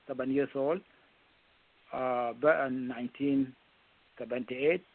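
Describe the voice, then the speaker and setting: A middle-aged man from South Sudan with a South Sudanese accent, a non-native English speaker giving a halting, earnest personal account as a radio interview guest. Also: medium pitch. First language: not English. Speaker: guest, presidential candidate. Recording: muffled phone-in line.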